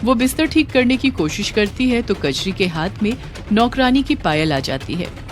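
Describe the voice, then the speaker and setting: A woman's voice: medium pitch, big pitch swings, normal speed, soft background music. A woman narrator reading a scripted moral story.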